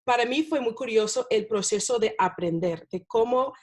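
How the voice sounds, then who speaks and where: A woman, a non-native Spanish speaker, talking as a guest about teaching in a relaxed interview, with many fillers and tag questions. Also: on the high side, normal speed. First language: not Spanish. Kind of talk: casual interview talk.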